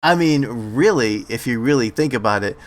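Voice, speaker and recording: A man co-hosting a podcast in casual chat, speaking haltingly with stammers, restarts and casual swearing. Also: medium pitch, lively intonation. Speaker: podcast co-host.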